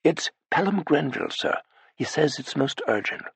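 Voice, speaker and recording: A man voicing a polite, deferential young male butler who speaks in a hushed tone. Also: fairly high pitch, animated, normal speed, gravelly, husky, no room sound, pitched above the performer's own voice, full character voice.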